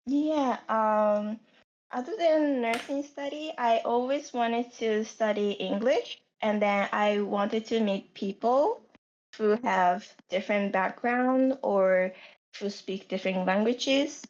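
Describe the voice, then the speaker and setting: Slow-speaking young Japanese-accented woman. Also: fairly high pitch, a little mellow. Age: young adult. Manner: unhurried, searching for words. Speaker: guest. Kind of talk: casual podcast chat. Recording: dull lo-fi sound.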